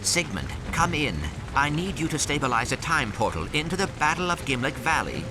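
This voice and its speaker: A man's voice: fairly high pitch, very animated, fairly quick, noisy background. A man voicing a small, polite robot sidekick with formal, precise speech in a video game. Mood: calm, polite. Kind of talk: video game cutscene acting.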